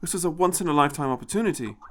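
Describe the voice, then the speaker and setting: A man's voice: a little high, lively intonation, very fast, fairly bright tone, pitched above the performer's own voice. A man voicing a shaken, anxious and defeated personal assistant in an audio story, fretful and gloomy. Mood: bleak.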